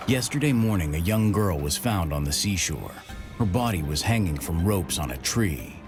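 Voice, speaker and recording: An actor playing an earnest, troubled small-town police chief in a mystery game. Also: male voice, low voice. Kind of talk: video game voice acting.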